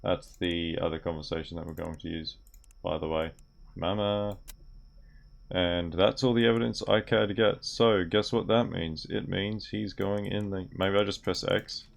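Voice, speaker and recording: A young man with a gravelly voice, commenting on a game as he plays and mutters through on-screen text. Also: sits low, flat delivery, normal speed. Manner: mutters aloud while reading on-screen text. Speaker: gaming video creator. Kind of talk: game commentary while playing. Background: keyboard typing and clicks.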